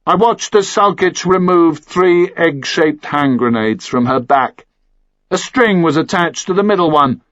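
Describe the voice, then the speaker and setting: A man's voice: a little high, expressive, measured pace, a bit bright, no room sound, lo-fi sound. A man reading a first-person action thriller as scripted audiobook narration.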